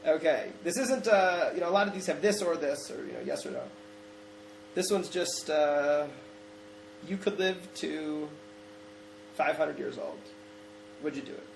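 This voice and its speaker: A man hosting a casual chat, posing hypothetical questions with many halting restarts. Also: fairly high pitch, lively intonation, creaky, roomy sound, slight background noise, rough recording. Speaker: host asking hypothetical questions.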